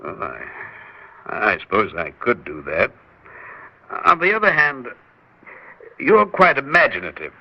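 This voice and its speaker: A smooth, persuasive research doctor who coaxes people along with little hesitations. His voice is lively and expressive. The old radio recording sounds dull and lo-fi. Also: medium pitch, unhurried, pauses often, exaggerated voice. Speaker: scientist. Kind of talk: 1960s radio drama acting.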